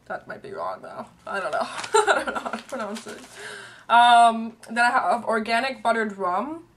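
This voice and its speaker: A woman's voice: fairly high pitch, very animated, normal speed, a bit bright, a little hiss or hum. A young woman from Canada vlogging casually to her camera from a small room, rambling with lots of fillers and asides while her voice wears out. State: voice worn and fading. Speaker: beauty and tea vlogger. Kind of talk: casual chatty vlog. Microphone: webcam at arm's length.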